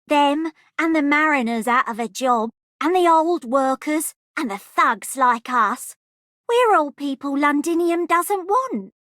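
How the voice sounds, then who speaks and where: A high-voiced, wistful young thug. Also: female voice, a bit bright, no echo, studio quality, heavily acted. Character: wistful young street thug from a rundown borough. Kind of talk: scripted game character lines.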